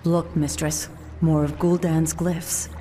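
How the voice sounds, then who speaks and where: Casting: curious female night elf aide, fantasy game. Slightly low, slow, respectful delivery. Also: little pitch movement. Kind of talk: video game cutscene acting. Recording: music under the voice.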